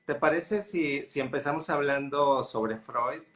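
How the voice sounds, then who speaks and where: A man's voice: on the high side, measured pace, a bit bright. A man hosting a live-stream interview, friendly and appreciative, using casual fillers. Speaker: host.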